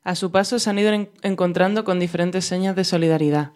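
Low-voiced woman reading radio commentary. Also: fairly even intonation, fast, a little mellow. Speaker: radio host. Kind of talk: read commentary.

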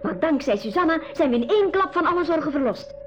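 Eager young girl, optimistic, high voice, radio drama, muffled noisy recording. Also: lively intonation, quick pace. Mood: eager, confident. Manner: eager, insistent. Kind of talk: 1980s school radio drama acting. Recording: dull, humming old radio-play recording.

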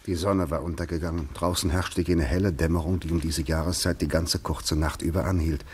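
A man's voice: low voice, fairly even intonation, a little fast, darkish tone, a little hiss or hum, lightly acted. A man narrating a literary radio drama as a grave storyteller, reading from a script.

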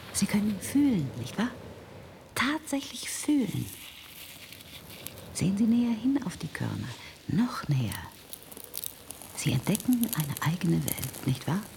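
An animated, ambitious scientist over noisy ambience. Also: female voice, low voice, vocal fry, exaggerated voice. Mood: hushed, coaxing. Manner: formal, theatrical, hushed and coaxing at times. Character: ambitious female computer scientist presenting her virtual reality invention. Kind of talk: German radio drama acting.

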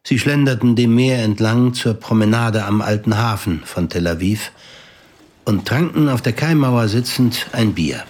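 A man narrating a scripted audio drama in a measured, matter-of-fact way, with a husky voice. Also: on the low side. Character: matter-of-fact male narrator of a crime story.

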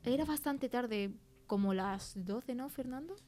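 A woman's voice: a little high, measured pace, a little mellow, close to an everyday voice. An actress playing a shaken young film-crew woman telling an eerie story in colloquial Castilian Spanish, in a chatty, teasing way. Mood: uneasy, candid. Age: young adult. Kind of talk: conversational interview in an audio fiction podcast.

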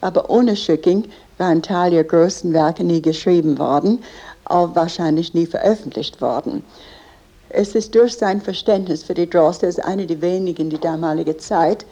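Female tour guide with a foreign accent, earnest and explanatory, deep for a woman and mellow. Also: moderate pace.

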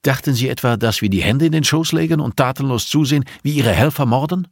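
A man voicing a stern, merciless police investigator in an audiobook, forceful, clipped and menacing. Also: mid-range voice, quick pace, dry recording, studio quality, pitched above the performer's own voice, natural voice.

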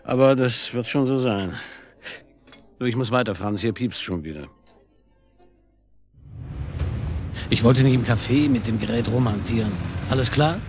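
Radio drama casting: man as sardonic police boss, medium-pitched voice, dry irony, clipped orders. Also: normal speed, noisy background, lightly acted. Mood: dry, ironic. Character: wry, sardonic male police detective chief. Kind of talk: naturalistic German radio drama acting.

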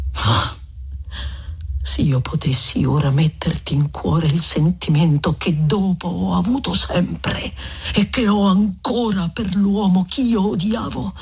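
A reader voicing a stern, righteous old friar with a husky voice, solemn and admonishing, in a dramatic audiobook. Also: mid-range voice, heavily acted. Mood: pleading, anguished.

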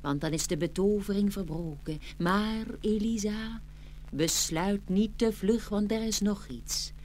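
Dream fairy, played by a woman: solemn, deliberate, unhurried, storybook drama with faint noise. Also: medium pitch, darkish tone, close to an everyday voice. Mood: grave. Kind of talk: fairy-tale radio play acting.

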